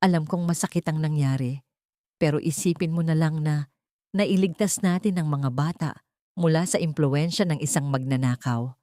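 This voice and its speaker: A woman's voice: sits low, normal speed, dry recording, studio quality, natural voice. A woman voicing a scheming, manipulative rich woman in an audiobook drama. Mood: sweet, persuasive. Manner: soft, coaxing or coldly menacing.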